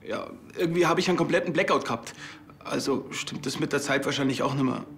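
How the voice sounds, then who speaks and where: An actor playing a sheepish junior police officer in a TV drama, hesitant and apologetic. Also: male voice, fairly high pitch, fast talker, fairly bright tone, a little hiss or hum.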